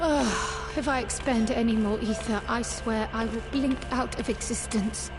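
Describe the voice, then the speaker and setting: A woman's voice: on the high side, measured pace. A woman playing a weary, haughty, demanding mage in a video game, with a dramatic, imperious manner. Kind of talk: scripted video game voice acting. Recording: music behind the voice.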